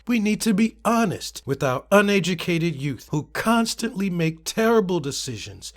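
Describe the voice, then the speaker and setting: A man giving an emphatic, theatrical dramatic reading of short comments, shifting his delivery often. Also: on the high side. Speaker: narrator reading social media comments.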